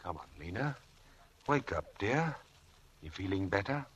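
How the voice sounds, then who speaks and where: A suave husband from an old radio thriller, coaxing and breezy with a hint of menace. The voice is low and bright, with very animated pitch, on a slightly noisy vintage recording. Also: male voice, measured pace, dull audio, soft background music, full character voice. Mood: soothing. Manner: breezy, coaxing, fond of endearments. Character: smooth, charming, faintly sinister husband. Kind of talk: vintage radio drama acting.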